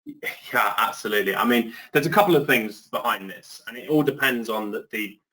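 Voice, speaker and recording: A man with an English accent, talking through a business topic in a loose, chatty way, full of fillers and restarts. His voice is bright and forward, pitched a little low, and comes through a video call. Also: moderate pace, a little hiss or hum, poor audio. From England. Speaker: webinar guest speaker. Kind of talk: conversational webinar discussion.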